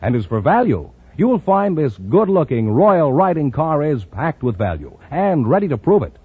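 A polished American announcer from 1950s radio, this man speaks emphatically with big swings in pitch. His voice is slightly high and rough-edged, heard dry and close through a narrow, tinny vintage broadcast. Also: sing-song, normal speed, no echo. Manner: polished, emphatic salesman delivery.

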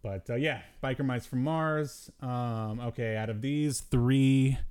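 A man hosting a podcast in casual conversation, talking in a halting, jokey way. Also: mid-range voice, a little mellow, clean tone, no room sound. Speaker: podcast host. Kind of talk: casual chat about cartoons and movies.

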